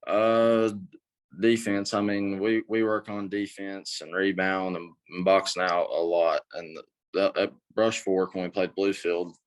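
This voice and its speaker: A young man, a high school basketball player, giving short, plain answers. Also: little pitch movement, measured pace, clear, resonant tone. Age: young adult. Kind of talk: casual interview answers.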